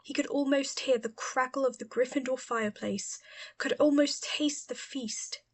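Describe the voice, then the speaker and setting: A woman's voice: sits high, steady tone, moderate pace. A woman reading a scripted story as an audiobook narrator.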